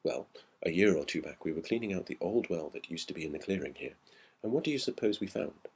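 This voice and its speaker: Country house host for a ghost story, voiced by a male reader: very deep, husky, grave, plainly conversational. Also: animated, fast, darkish tone. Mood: grave, matter-of-fact. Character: country house host, matter-of-fact man recounting grim discovery.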